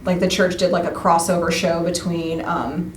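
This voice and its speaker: A chatty, giggly woman talking casually as a podcast interview guest, retelling things in an animated way. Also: lowish voice, moderate pace, far from the mic. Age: adult, parent of a nine-year-old. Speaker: podcast guest, radio play cast member. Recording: echoey room, off mic, faint noise.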